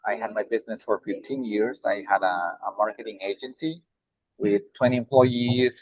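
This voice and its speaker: A man's voice: on the low side, fairly even intonation, no echo, lo-fi sound. Man with a Mexican Spanish accent. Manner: halting, many restarts and fillers. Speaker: nonprofit director. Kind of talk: roundtable discussion.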